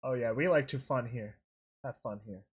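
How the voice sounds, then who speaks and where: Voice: medium pitch, normal speed, slightly rough. A streamer giving casual, goofy, rambling live reactions while videos and songs are played. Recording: music playing behind the voice. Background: music.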